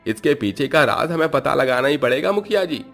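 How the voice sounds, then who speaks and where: Man doing a worried villager, pitched high. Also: lively intonation, brisk, creaky, fairly bright tone. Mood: alarmed, determined. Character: worried, insistent male villager seeking the truth. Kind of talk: animated horror story character voice. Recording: music behind the voice.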